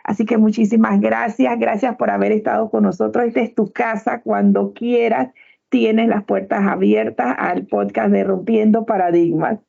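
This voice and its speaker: A woman hosts a podcast interview with a gravelly, mellow voice, a little higher than most. She asks long questions and thanks her guest effusively. The call audio sounds somewhat dull. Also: fairly even intonation, moderate pace. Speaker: podcast host. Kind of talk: interview hosting.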